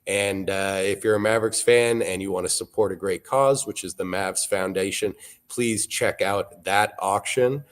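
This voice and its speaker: Low-voiced man hosting a live auction show. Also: moderate pace. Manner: relaxed, wry, informative.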